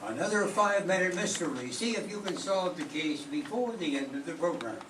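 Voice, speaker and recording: An older man reading as an old-time radio announcer, with a formal cadence and a slightly high pitch. He is heard far off in an echoey room with loud background noise. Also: expressive, fairly quick.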